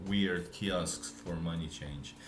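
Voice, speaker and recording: A man with a Russian accent, live streaming and chatting casually with viewers, speaking in a deliberately lazy, relaxed way. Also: low voice, echoey room. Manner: intentionally lazy, relaxed way of talking.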